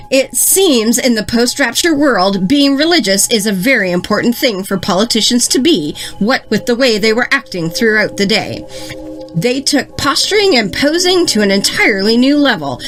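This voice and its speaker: A woman acts an exasperated female news anchor in a satirical audio newscast. Her voice sits a little high and moves expressively, with emphatic, sardonic broadcast phrasing. She sounds sharp-tongued, and faint music is present. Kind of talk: satirical fictional newscast, scripted narration.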